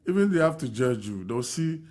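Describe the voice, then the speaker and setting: A man's voice: mid-range voice, big pitch swings, fairly quick, a little mellow. A man whose first language is French, a Red Cross volunteer guest in a radio studio, speaking emphatically with repeats and restarts. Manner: emphatic, repetitive, many restarts. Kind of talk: radio interview. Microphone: studio microphone.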